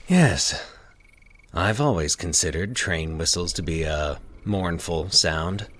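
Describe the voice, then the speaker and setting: A man playing a solitary, sinister man in a horror story, solemn, measured and calculating. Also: deep voice, expressive, fairly bright tone, heavily acted.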